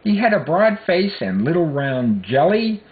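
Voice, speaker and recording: A man giving a theatrical storybook recitation of verse, with drawn-out phrasing and exaggerated emphasis, on a tinny, narrow recording. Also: fairly high pitch, sing-song. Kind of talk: theatrical poetry recitation of a Christmas poem. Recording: tinny, phone-like narrow sound.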